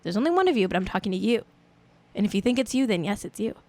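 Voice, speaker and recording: A woman's voice: medium pitch, very animated, fast talker, darkish tone. A woman in her late twenties hosting a live stream show, chatting in a rambling, playful, self-mocking way. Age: 20s. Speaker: show host. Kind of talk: casual livestream chat.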